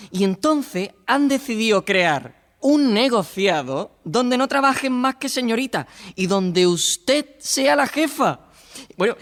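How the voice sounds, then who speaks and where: Actor como mensajero parlanchín con mucha reverberación. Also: male voice, high voice, creaky, fairly bright tone, heavily acted. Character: Manolo, excitable, chatty male friend bringing news. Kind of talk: theatrical radio drama acting.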